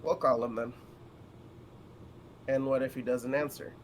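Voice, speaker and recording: A man's voice: medium pitch, fairly even intonation, unhurried. A man co-hosting a livestream, chatting casually and reading character lines aloud. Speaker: co-streamer. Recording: faint background noise.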